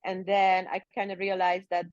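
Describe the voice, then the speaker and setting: A woman's voice: mid-range voice, monotone, moderate pace, no room sound, dull audio. A woman in her thirties from Hungary, chatting as a podcast guest and rambling with lots of filler words. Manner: rambling, heavy with fillers like "like" and "you know". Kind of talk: casual interview chat.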